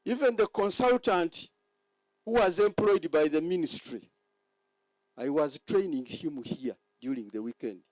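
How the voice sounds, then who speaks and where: A hoarse man giving a boastful ceremony speech as general manager, halting and repeating words. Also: fairly high pitch, lively intonation, a little mellow, no room sound, poor audio.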